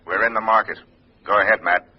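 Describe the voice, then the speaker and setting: Low, husky government handler. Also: male voice, steady tone, fairly quick. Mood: brisk, official. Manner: clipped, official. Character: businesslike male FBI handler giving orders. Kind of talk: 1950s radio drama acting. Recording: muffled vintage radio recording over phone scene.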